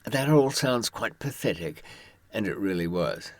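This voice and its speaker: A man with a husky voice telling first-person stories and advice informally, with wry, understated humour. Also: on the low side, expressive, a little slow, dry recording. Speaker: author and former prisoner giving advice.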